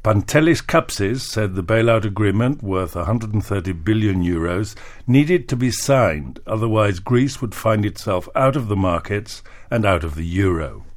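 Fairly low-pitched male radio newsreader. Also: expressive, normal speed. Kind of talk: scripted news reading.

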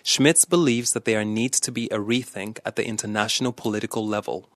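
A man's voice: lowish voice, steady tone, fairly quick, fairly bright tone, no echo. A man narrating a scripted radio news report in a studio. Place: dry studio.

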